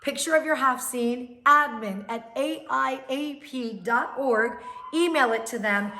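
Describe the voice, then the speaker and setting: High-voiced, upbeat woman MC. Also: a little slow, bright, forceful tone. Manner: upbeat, instructive. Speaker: MC of a cultural celebration. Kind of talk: event announcement.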